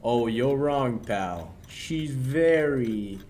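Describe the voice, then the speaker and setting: A young man acts out a cocky radio-play crook, slangy and sardonic. His delivery is unhurried and swoops widely in pitch, over a noisy recording. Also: expressive, darkish tone. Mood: smug. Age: young adult. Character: cocky, smooth-talking male crook, menacing under breezy slang. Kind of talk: 1940s radio play acting.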